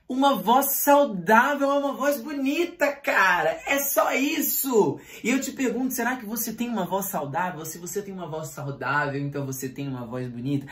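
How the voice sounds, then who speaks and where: A man teaching an online voice lesson, talking straight to his viewers with lively rhetorical questions. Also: high-pitched. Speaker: singing teacher. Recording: roomy echo.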